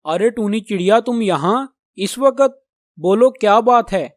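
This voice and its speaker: A man's voice: sits high, expressive, measured pace, no room sound, studio quality. A man playing a proud, grudging talking mango tree in a children's cartoon, speaking emphatically. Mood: kindly.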